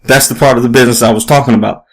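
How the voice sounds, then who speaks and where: A man's voice: mid-range voice, fairly even intonation, rapid-fire, close to an everyday voice. A man playing a proud, guarded retired boxing champion in an audiobook story, speaking in a matter-of-fact, evasive way.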